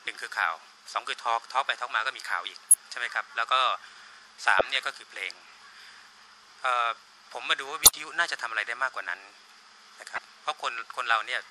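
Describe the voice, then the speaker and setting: A man's voice: medium pitch, a little fast. A man in his thirties explaining his work as a producer in an informal interview, on a handheld mic in a small office. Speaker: radio drama producer being interviewed. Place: small studio office, indoors. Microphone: handheld reporter microphone. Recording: noisy room, handheld interview microphone.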